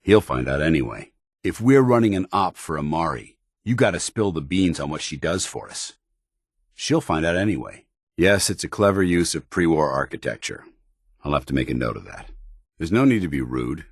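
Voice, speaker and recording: An actor playing a stern, honor-bound soldier in a video game, speaking in a formal, commanding and earnest military way. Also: male voice, extremely low pitch, lively intonation, normal speed, husky, no echo, studio quality, exaggerated voice. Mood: measured, opinionated. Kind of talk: video game companion dialogue.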